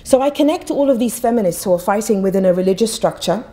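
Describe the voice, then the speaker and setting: Woman from Egypt, middle-aged, podium speaker, mellow voice, fervent and emphatic delivery. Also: medium pitch, animated, fast. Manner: passionate, emphatic, repeats words when restarting. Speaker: guest speaker. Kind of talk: public talk at a podium. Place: auditorium stage. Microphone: podium mic.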